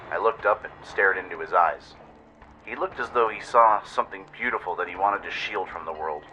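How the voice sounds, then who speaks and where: A man's voice: deep voice, normal speed, sharp tone, lo-fi sound, a little hiss or hum. A man narrating a scripted dramatic first-person story in radio-play style, with an intense, theatrical delivery.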